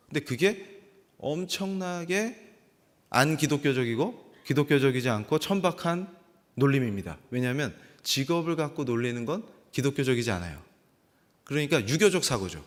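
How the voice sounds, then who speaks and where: A man in his forties from South Korea giving an impassioned, sermon-like lecture, emphatic and full of rhetorical questions. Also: a little high. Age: 40s. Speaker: professor giving a guest church lecture. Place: large church hall. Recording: long echoing hall reverb.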